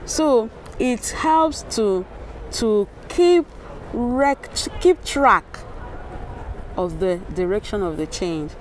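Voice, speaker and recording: Slow-speaking Nigerian woman explaining research. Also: mid-range voice, expressive, a little hiss or hum, poor audio. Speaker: academic linguist. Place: outdoors in a yard with sculptures. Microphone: clip-on lapel microphone.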